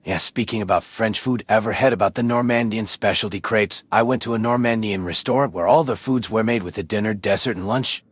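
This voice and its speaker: A man chatting casually with the host, relaxed and rambling as he tosses out ideas. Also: lowish voice, brisk, few pauses, slightly rough, dry recording. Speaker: chat participant. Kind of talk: casual chat.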